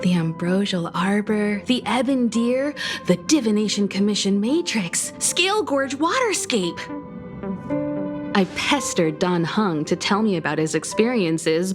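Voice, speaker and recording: An actress voicing a poised, worldly female navigator in a video game, speaking gracefully and articulately. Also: mid-range voice. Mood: playful. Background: game music.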